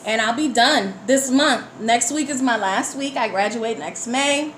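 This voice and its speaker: A woman's voice: a little high, unhurried, a bit bright. A woman from the United States, a guest poet in a casual interview, talking candidly and conversationally about personal things. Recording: echoey room with background noise.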